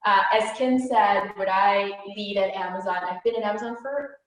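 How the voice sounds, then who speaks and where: A woman speaks as a panelist, giving a conversational, professional introduction. Her pitch is medium and the room around her voice echoes heavily. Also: moderate pace. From Brazil.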